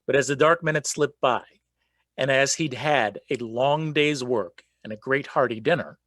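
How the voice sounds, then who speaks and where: A man's voice: mid-range voice, moderate pace, a bit bright, dry recording, natural voice. A man narrating a radio play from a script in storytelling style.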